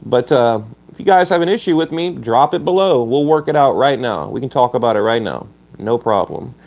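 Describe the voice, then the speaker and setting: A man hosting a casual livestream who is stuffed up and a little hoarse, talking bluntly and combatively while reading viewer comments, often restarting his sentences. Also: medium pitch, animated, brisk, darkish tone. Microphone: phone.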